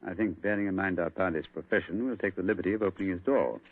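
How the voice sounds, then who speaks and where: An actor playing a brilliant, sharp male English detective, crisp and commanding in 1940s radio acting style, on a tinny old radio broadcast. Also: low voice, quick pace. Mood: brisk.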